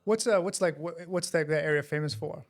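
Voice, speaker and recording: A man's voice: a little high, a little fast. A man hosting a conversational interview, inquisitive and often rephrasing what he hears. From Hong Kong and New Zealand. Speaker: podcast host.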